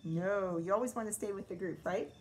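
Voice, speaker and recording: A woman's voice: mid-range voice, brisk, darkish tone, a little hiss or hum. A woman teacher reading a picture book aloud to young children, expressively, with chatty explanations and questions.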